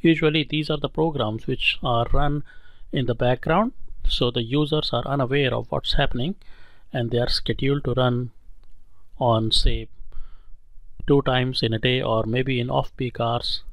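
A male instructor talks through a software tutorial step by step in an explanatory way. His voice sits at a middling pitch with a gravelly fry. The recording is dry and close. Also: steady tone, moderate pace, no echo.

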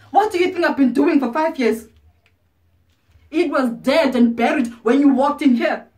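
A woman's voice: sits high, lightly acted. Woman acting a proud, bitter woman, distant mic. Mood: angry, accusing. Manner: dramatic, confrontational, pointed pauses. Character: proud, bitter ageing woman, former prostitute, wounded and defiant. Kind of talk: theatrical stage monologue. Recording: distant microphone.

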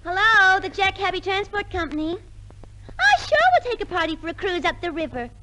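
A woman's voice: very high-pitched, sing-song, brisk, over music. An actress playing a brash, chirpy company telephone girl in a 1950s radio comedy. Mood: chirpy, boastful. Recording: noisy 1950s radio recording.

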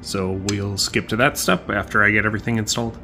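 A man giving a casual hands-on explanation in a halting, thinking-aloud way. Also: lowish voice, fairly even intonation, bright, forceful tone, soft background music. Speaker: hobbyist tutorial maker.

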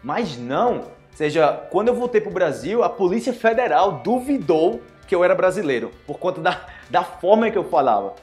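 A young man from Brazil's Northeast teaches to camera in a small indoor room, his Northeastern Brazilian accent plain and his voice sitting fairly high. He talks in an animated way, acting out short dialogues as he goes. Soft music plays faintly beneath him. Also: moderate pace, hardly any pauses. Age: young adult. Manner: animated, gestures a lot, acts out dialogues. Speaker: Portuguese teacher. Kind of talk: online language lesson to camera.

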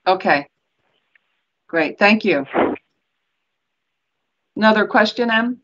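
An older woman moderating a public online meeting as an elected official, speaking in a deliberate, encouraging way over a video call. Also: medium pitch, animated, noisy background. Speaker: elected official hosting an event. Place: small office. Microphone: video call computer microphone. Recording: dull video call audio.

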